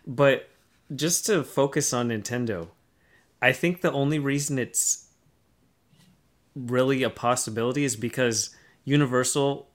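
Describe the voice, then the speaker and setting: A man's voice: mid-range voice, unhurried, long pauses. A man hosting a podcast in a casual panel discussion, talking in an explanatory way and posing rhetorical questions. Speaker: podcast host.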